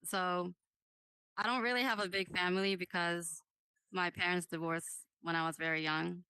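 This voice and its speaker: Female guest from Japan, slow and hesitant, bright smooth voice with fry. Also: on the low side, steady tone, no echo, poor audio. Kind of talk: casual group chat.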